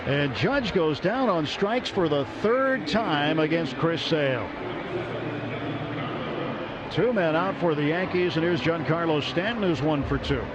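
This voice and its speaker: A man calling a baseball game on the radio as play-by-play announcer, brisk and lifting with excitement on big plays. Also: fairly high pitch. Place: ballpark broadcast booth. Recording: muffled broadcast audio with crowd noise.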